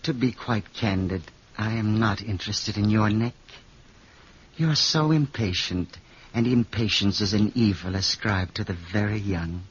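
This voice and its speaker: Slow-spoken, menacing fat connoisseur of murder. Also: male voice, on the low side, husky, muffled recording. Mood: cold menace. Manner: slow, hesitant, ornate and suave speech. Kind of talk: old-time radio horror acting. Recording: noisy, band-limited vintage radio recording.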